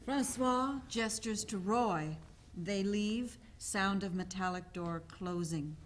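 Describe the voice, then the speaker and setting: A woman's voice: medium pitch, slow, slight background noise. A woman reading stage directions as scripted narration for a play.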